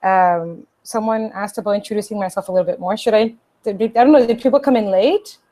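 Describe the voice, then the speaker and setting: A woman English teacher, a native Portuguese speaker, giving lively, encouraging exam advice on a video call. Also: mid-range voice, fairly quick. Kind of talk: casual webinar advice.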